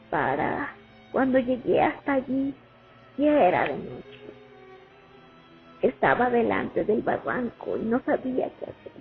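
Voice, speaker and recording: Actress playing female war veteran, radio drama, reminiscing, expressive high voice, narrow tinny band, music behind. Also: gravelly, exaggerated voice. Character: woman war veteran recalling her years at the front. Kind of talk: radio play monologue, first-person testimony. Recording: tinny phone-like sound over music.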